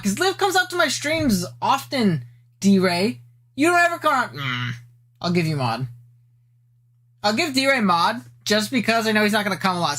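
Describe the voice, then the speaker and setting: A young man with a high-pitched, not very deep voice, live-streaming and chatting casually as he reacts to an old video, rambling, teasing his chat and poking fun at himself. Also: sharp tone.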